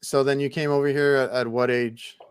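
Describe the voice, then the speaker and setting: A man's voice: medium pitch, fairly even intonation, fairly quick, clear, resonant tone. A man hosting a casual chat, asking his guest friendly, easygoing questions. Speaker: podcast host.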